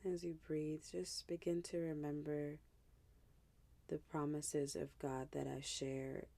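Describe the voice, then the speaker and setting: Slow, low-voiced woman guiding meditation. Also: level tone, vocal fry. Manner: hushed, gentle, unhurried, with pauses. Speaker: meditation guide.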